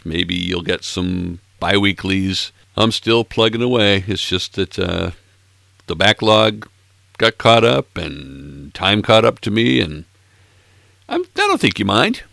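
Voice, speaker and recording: Very deep gravelly man in his sixties. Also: sharp tone, no room sound, studio quality. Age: 60s. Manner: playful, self-mocking, rambling asides. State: slightly hoarse, froggy throat. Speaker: music podcast host. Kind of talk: casual chat between tracks.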